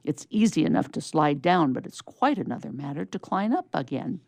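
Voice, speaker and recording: Woman reading as a puzzled old Santa, low-voiced. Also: very animated, normal speed, gravelly, a little mellow, no room sound, heavily acted. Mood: surprised, worried. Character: kindly old Santa, surprised and worried.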